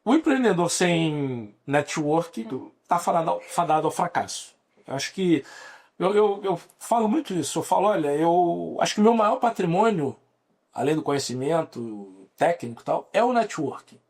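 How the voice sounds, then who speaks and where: A man's voice: a little high, animated, moderate pace. A Brazilian man in his forties, a lawyer guest on a podcast, speaking with a Brazilian Portuguese accent and explaining at length with frequent restarts. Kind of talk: podcast interview.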